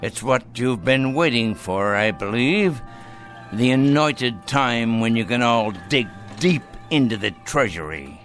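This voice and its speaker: A scornful old man near death, the patriarch of a radio drama. He speaks slowly and deliberately, with sweeping, theatrical rises and falls. His bitter contempt comes through in a bright, cutting tone. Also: medium pitch, expressive, faint music behind. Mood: wry, knowing. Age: older. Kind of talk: dramatic radio play acting.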